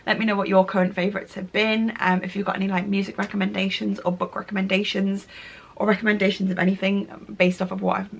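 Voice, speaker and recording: A woman in her late twenties talks to camera in a loose, chatty way, gushing over things she loves. Her voice is rough and gravelly from a cold, husky and a little nasal. She leans on "really, really" again and again. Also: medium pitch, flat delivery, fast. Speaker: lifestyle vlogger. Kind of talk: casual chatty monthly favourites vlog.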